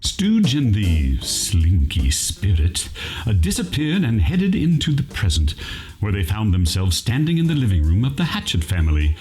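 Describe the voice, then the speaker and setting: Very animated, deep male narrator. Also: moderate pace, soft background music. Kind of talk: comic scripted narration.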